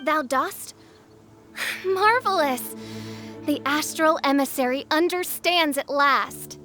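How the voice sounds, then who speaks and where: Dramatic teen princess game character, high voice, slow grand old-timey speech, super pompous. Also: female voice, sharp tone, soft background music, exaggerated voice. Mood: delighted. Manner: pompous, archaic, melodramatic. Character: theatrical, grandiose teenage self-styled dark princess. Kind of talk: video game character acting.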